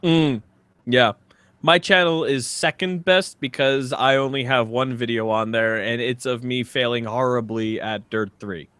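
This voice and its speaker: A man's voice: mid-range voice, steady tone, unhurried, a bit bright, clean tone, no echo. A man co-hosting a comedy podcast, bantering casually and doing comic readings. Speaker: podcast co-host.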